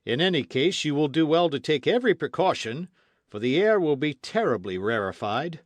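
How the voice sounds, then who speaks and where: A man's voice: a little high, very animated, moderate pace, no echo, pitched above the performer's own voice. A stubborn skeptic, voiced by a man reading aloud presses his doubts in a firm, slightly raised voice. He sounds insistent and unconvinced. The recording is dry. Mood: skeptical. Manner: insistent, objecting.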